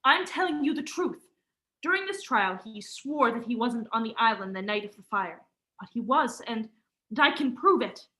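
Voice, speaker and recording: A woman's voice: a little high, expressive, normal speed, pushed and bright, low-quality recording. A student actress playing a frightened, suspicious young woman in an urgent, melodramatic vintage radio mystery style. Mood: urgent, accusing. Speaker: student actor.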